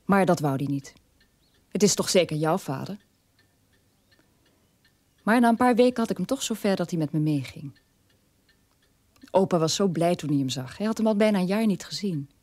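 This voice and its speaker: Estranged middle-aged son, radio drama, measured telling, low-key mellow tone, dry recording. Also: male voice, lively intonation, no room sound. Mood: resigned, estranged. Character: middle-aged German son, estranged from his elderly father.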